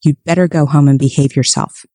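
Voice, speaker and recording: A woman's voice: deep voice, fairly even intonation, brisk, dark tone, dry recording, studio quality, voice pushed down. A woman voicing a cold, prejudiced mother who speaks stiffly and curtly.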